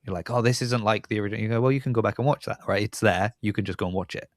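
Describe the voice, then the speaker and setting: Low-voiced man hosting, dry close sound. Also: very fast, no room sound. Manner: rambling, thinking aloud, often rephrasing mid-sentence. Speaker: podcast host and interviewer. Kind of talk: casual podcast interview.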